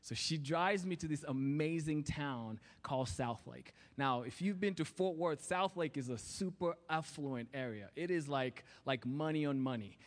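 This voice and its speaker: A man with a Kenyan accent preaching in church, telling a personal story with lively acted-out voices. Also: fairly high pitch, moderate pace, hardly any pauses. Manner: animated storytelling, acting out voices. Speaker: guest preacher. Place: church sanctuary.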